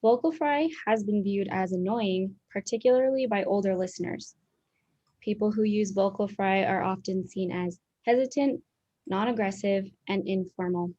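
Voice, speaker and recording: Young female student presenter, clear scripted read, muffled lo-fi recording. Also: medium pitch, moderate pace, mellow. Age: young adult. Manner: clear, explanatory reading. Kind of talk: scripted educational narration. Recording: dull lo-fi sound.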